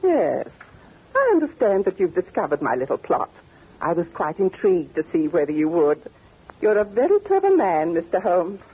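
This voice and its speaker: An actress playing a haughty, amused older British duchess with a grand, theatrical manner, in 1940s radio style. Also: female voice, on the low side, wildly swooping pitch, normal speed, breathy edge, heavily acted. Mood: cool, candid. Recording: tinny, narrow old radio recording.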